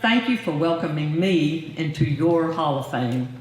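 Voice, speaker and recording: An older woman speaks at a podium mic in a roomy banquet hall, her words carrying an echo off the walls. She mixes gentle humor with formal gratitude, with a faint hum of the room behind her. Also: deep voice, lively intonation, unhurried. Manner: warm, lightly humorous, formal. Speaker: hall of fame inductee, former professor. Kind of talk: acceptance speech. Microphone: podium microphone.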